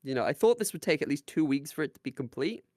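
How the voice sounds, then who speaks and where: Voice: medium pitch, very animated, fast talker, warm tone, no room sound, clean studio sound. A giggly, self-mocking podcast co-host who swears casually while chatting.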